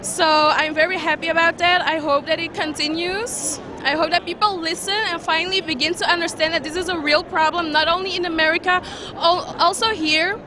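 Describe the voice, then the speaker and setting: A woman protester giving an earnest, measured interview answer. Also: very high-pitched, fairly even intonation, background noise. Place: outdoors at a rally. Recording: crowd behind.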